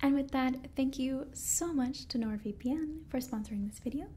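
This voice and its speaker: A woman in her twenties giving a sponsor read in a breathy ASMR whisper. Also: high-pitched, unhurried, dark tone, a little hiss or hum. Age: 20s. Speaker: ASMR host reading a sponsor message.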